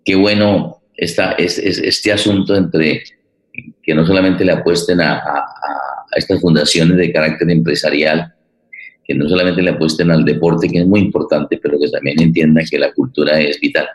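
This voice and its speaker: A man's voice: a bass voice, fairly even intonation, normal speed. A man hosting a forum in informal, unscripted talk, recorded with a roomy echo. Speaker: interviewer, forum host. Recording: roomy, echoing sound.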